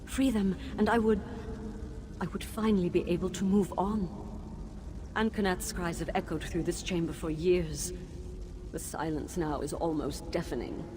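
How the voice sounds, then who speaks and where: An actress playing the mournful, solemn ghost of a wronged wife and former teacher in a fantasy video game. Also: female voice, on the low side, fairly even intonation, normal speed, noisy background, lightly acted. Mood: solemn, sorrowful.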